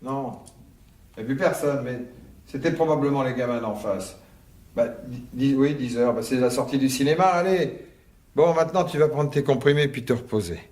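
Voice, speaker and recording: Man acting a tired husband in a radio mystery, mid-pitched, sighing, coaxing and brushing things off. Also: moderate pace, darkish tone, lightly acted. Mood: reassuring, dismissive. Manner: colloquial, sighing, placating. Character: weary, placating husband, dismissive yet coaxing.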